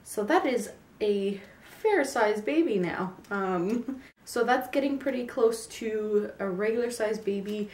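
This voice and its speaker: A woman's voice: mid-range voice, measured pace, a little mellow. A woman in her twenties with a raw, sore throat from a cold, chatting casually in a pregnancy update vlog with many ums. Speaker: vlogger. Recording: echoey room, mic at a distance.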